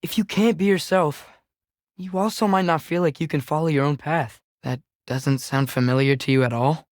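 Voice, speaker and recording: A man's voice: fairly high pitch, fairly even intonation, normal speed, no room sound, close to an everyday voice. Game role: eager, sincere teen boy voiced by a young man, godly lineage, youthful and conversational, close dry sound. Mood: earnest, casual. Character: earnest, eager teenage boy adventurer, son of a god. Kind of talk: video game character acting.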